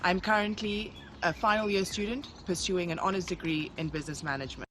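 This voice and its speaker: A woman's voice: on the low side, steady tone, fairly quick, fairly bright tone, slight background noise, low-quality recording. A woman from Botswana giving a formal, scripted self-introduction as a student presenter, opening with greetings in several languages.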